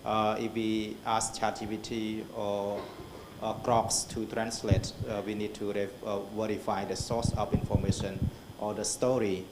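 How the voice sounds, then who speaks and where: Halting man in echoey hall. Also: fairly low pitch, little pitch movement, background noise. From Cambodia. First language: Khmer. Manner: halting, repeats words, apologetic asides. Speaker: conference participant, scholar. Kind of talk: conference discussion. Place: conference hall.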